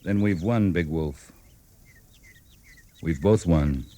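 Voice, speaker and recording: An actor playing a steady, firm cavalry captain in a 1950s radio Western, speaking in a grave, measured way on a vintage recording. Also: male voice, low-pitched. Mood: quiet relief.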